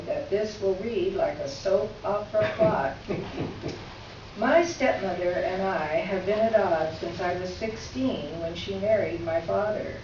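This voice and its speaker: A woman's voice: medium pitch, darkish tone, lightly acted. Lady acting out a witty polite woman reading her letter onstage, muffled, really echoey. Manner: articulate, wry, formal phrasing. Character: witty, gracious woman writing a candid letter. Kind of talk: staged readers theater letter reading. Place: echoey indoor room, recorded from afar. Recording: echoey room, distant mic, muffled, faint noise.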